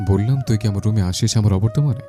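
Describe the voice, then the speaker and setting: A man's voice: lowish voice, fast talker, over music, lightly acted. A man playing a cold, stern young romantic lead in an audio story.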